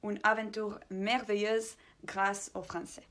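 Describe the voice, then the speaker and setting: A woman's voice: mid-range voice, flat delivery, takes it slowly, a bit bright. A woman from Croatia giving a cheerful personal testimony with some fillers.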